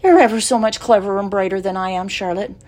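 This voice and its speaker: A woman voicing a young male pig who is eager and tender-hearted, in a high boyish storybook voice. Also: fast talker, darkish tone, lightly acted. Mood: wistful.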